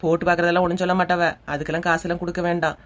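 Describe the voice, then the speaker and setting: A woman voices a practical, bold young cartoon character who talks very quickly. She is brisk and persuasive, speaking in a Kanyakumari Tamil accent. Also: on the low side, level tone, lightly acted. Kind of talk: cartoon comedy dialogue.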